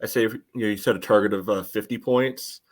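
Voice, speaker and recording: Deep-voiced man giving casual sports analysis. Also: steady tone, fast. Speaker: podcast panelist giving analysis.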